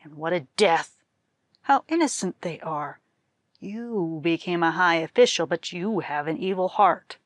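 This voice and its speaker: A woman's voice: on the low side, expressive, measured pace, no echo. Woman acting a male government minister, righteous, scornful, formal scolding, storybook folktale, dry sound. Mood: angry scorn.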